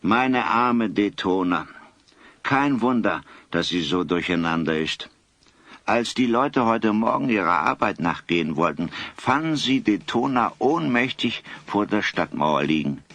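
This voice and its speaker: A man's voice: lowish voice, lively intonation, measured pace, a bit bright, no room sound. A man playing a solemn old grandfather in an audio drama, telling things in a dramatic, old-fashioned, wordy way. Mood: aggrieved, worried. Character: solemn old grandfather, vindicated eyewitness storyteller. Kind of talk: German audio drama acting.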